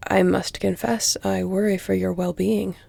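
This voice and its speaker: Close and very dry, this is a seductive vampire lady performed by a woman for an audio roleplay. Her voice is dark and mellow with a gravelly creak, often sinking toward a whisper. She speaks formally and deliberately, teasing as she goes. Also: mid-range voice, level tone, no room sound. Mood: seductive, hesitant. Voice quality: breathy, hushed, often near whisper. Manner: slow, deliberate, formal, teasing, breathy delivery. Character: flirtatious, seductive, elegant female vampire. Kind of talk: intimate audio roleplay, scripted. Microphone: close, dry microphone.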